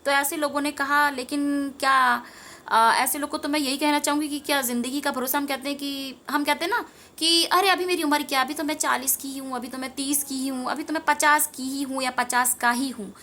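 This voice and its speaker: A woman's voice: sits high, fairly even intonation, a little fast, sharp tone. A woman giving an informal spiritual talk to viewers, earnest and rambling, repeating phrases for emphasis. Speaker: tarot reader and meditation teacher.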